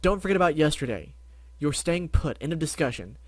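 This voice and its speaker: A woman's voice: low-pitched, animated, fairly quick, no echo, voice pushed up. Stern bookish unicorn lady, a guy doing her, firm and in charge. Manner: firm and commanding. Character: bossy studious purple unicorn mare.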